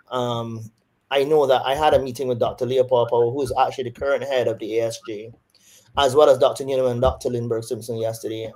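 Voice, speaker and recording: A man's voice: medium pitch, darkish tone, rough recording. Barbadian-accented male surgeon. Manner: thoughtful, with frequent restarts and fillers. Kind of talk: interview.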